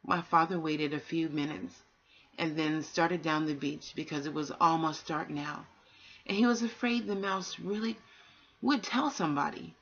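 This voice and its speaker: Creaky-voiced woman reading bedtime stories. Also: deep voice, normal speed, a bit bright. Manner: nurturing, encouraging toward young listeners. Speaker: bedtime story reader and host. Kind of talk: bedtime storybook narration. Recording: dull, lo-fi home recording.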